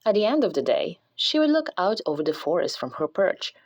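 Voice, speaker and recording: Woman reading a storybook, lively melodic pitch. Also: fairly quick. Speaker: storybook reader. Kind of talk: scripted children's story narration read aloud.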